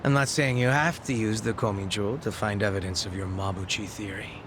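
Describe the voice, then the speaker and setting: Gang boss for game dub, male actor: mocking, gleefully threatening man, lowish register, rumble behind. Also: steady tone, a little fast, close to an everyday voice. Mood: cold threat. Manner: casual profane taunting. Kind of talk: English video game dub acting.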